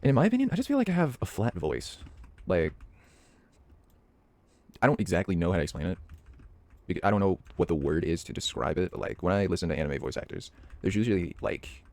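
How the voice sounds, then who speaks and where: A young man muses aloud while gaming. His voice sits very low with a gravelly fry. He wanders through his thoughts with lots of fillers and restarts, in a dry room with no echo. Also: big pitch swings, a little fast, pauses often, darkish tone. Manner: rambling, hesitant, many fillers and restarts. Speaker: hobby voice actor and gaming vlogger. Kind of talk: casual rambling commentary over gameplay.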